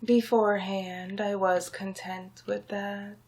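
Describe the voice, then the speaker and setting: A woman playing a scheming, power-hungry royal vizier in an ASMR villain roleplay, speaking softly and deliberately with theatrical menace and often dropping into a whisper. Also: medium pitch, level tone. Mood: condescending, ambitious. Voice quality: soft, breathy, half-whispered.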